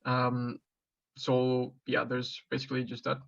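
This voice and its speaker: Mexican-born man, 20s, job applicant: halting, filler-heavy answers, monotone, slightly muffled audio. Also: mid-range voice, gravelly. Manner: hesitant, many fillers and restarts. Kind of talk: mock job interview answers.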